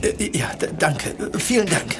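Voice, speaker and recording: Young student, music behind. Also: male voice, fairly high pitch, big pitch swings. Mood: hesitant, curious. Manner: measured when narrating, hesitant and stammering in dialogue. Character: poor young male student, polite, timid narrator. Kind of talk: audio drama narration and acting.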